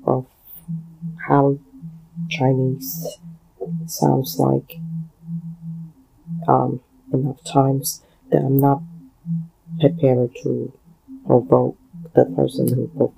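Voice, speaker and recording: A man from Hong Kong with a Hong Kong accent and Cantonese as his first language, talking alone on a podcast in a halting way, with many fillers and pauses. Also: medium pitch, creaky, warm tone, slightly rough, low-quality recording. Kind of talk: solo spoken commentary.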